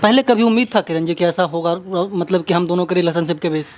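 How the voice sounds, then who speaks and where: A man's voice: high voice, quick pace, slight background noise. A man with a Bihari accent working as an interviewer, introducing and questioning in a dramatic, repetitive way. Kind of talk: local media interview with narrated introduction.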